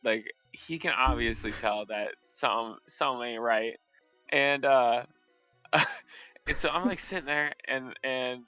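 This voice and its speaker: A man's voice: medium pitch, measured pace, sharp tone, clear, resonant tone, faint music behind, low-quality recording. A young man telling a story casually on a stream, rambling with many restarts and fillers and often breaking into laughter. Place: indoors at a streaming setup.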